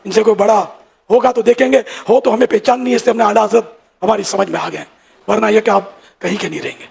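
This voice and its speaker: Older man, religious leader, high pitch, heavily cracked husky voice, informal sermon. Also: fairly even intonation, fast talker, darkish tone.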